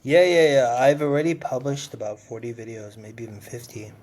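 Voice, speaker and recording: A man's voice: mid-range voice, fairly quick, darkish tone. A man in his forties co-hosting a casual podcast chat, talking emphatically with lots of "like" and some swearing. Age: 40s. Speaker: podcast co-host.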